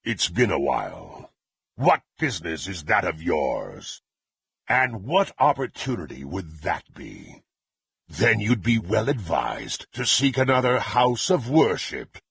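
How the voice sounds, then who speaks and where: Fantasy game villain warrior: low, heavily gravelled and husky, brutal and imperious, swinging widely in pitch. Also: male voice, huge pitch swings, slow, a bit bright, exaggerated voice. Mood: menacing. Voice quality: gravelly, raspy. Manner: threatening, imperious, archaic formal diction. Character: brutal, menacing half-orc warrior serving a demonic patron. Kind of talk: fantasy video game character acting.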